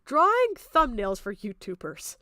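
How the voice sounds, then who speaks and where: A woman's voice: fairly high pitch, wildly swooping pitch, a little slow, pushed and bright, no room sound, studio quality. A woman giving off-the-cuff, argumentative stream commentary in a blunt, exasperated, sweary manner. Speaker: streamer reacting to a video.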